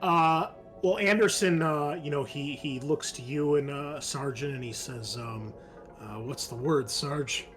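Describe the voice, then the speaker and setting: A man's voice: on the high side, unhurried, fairly bright tone. Man running a tabletop game, music underneath. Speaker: game master. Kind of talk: casual improvised narration of a tabletop game.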